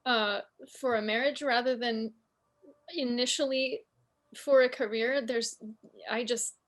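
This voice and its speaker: Female singer on a panel, slightly high voice, earnest and explanatory. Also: steady tone, measured pace. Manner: earnest, explanatory, emphatic advice. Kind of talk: casual panel discussion. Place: living in Germany.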